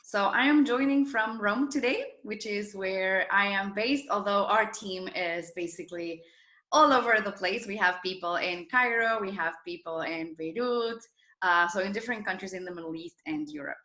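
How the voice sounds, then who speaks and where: A woman with a bright, forward voice talks as an interview guest. She is enthusiastic and emphatic as she explains things conversationally, and the room echoes clearly around her. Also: mid-range voice, moderate pace. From Argentina. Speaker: interview guest, startup founder and former journalist. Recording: roomy, echoing sound.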